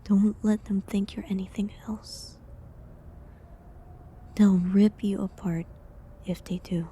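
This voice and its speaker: A woman playing a gentle, resigned prisoner accused of witchcraft in an intimate medieval audio drama, with a breathy, half-whispered, hesitant and pleading delivery. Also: mid-range voice, steady tone. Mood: sad, resigned, then urgent, sorrowful. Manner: slow, hesitant, pleading, slightly formal old-fashioned wording.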